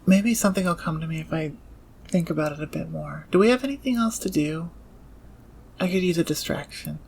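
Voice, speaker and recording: An actor in an audio roleplay playing a socially awkward, intense engineer turned thief, speaking in a halting, precise, nerdy way. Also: mid-range voice, a little mellow, natural voice. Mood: dry, pensive. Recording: clear background ambience noise.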